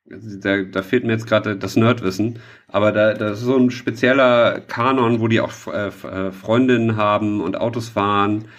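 A man's voice: lowish voice, fairly even intonation, normal speed. A man chatting casually as a podcast co-host, often searching for words and rambling a little.

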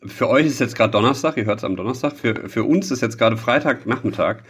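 A man's voice: medium pitch, animated, brisk, husky. A man co-hosting a podcast, chatting casually with a rambling, self-deprecating, joking manner. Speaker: podcast co-host. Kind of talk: casual banter between friends. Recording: dull lo-fi sound.

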